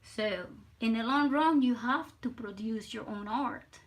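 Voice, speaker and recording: An older woman from Puerto Rico with a Spanish and Southern US accent, chatting on her livestream while drawing, nervous, halting and repeating words. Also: fairly high pitch. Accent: Puerto Rican Spanish mixed with Southern US. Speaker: livestream artist. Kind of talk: casual live stream chat while drawing.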